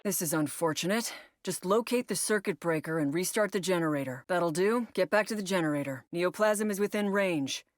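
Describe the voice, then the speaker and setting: A woman playing a composed female operations agent in a video game, briefing a squad in a measured, matter-of-fact way. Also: lowish voice, no echo, clean studio sound, lightly acted. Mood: disappointed. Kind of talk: scripted video game voice lines.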